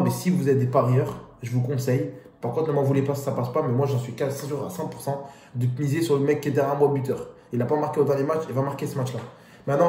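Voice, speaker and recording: Fast-talking guy. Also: on the high side. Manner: animated, slangy street talk. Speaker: football YouTuber. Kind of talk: casual pre-match football rant. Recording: echoey room.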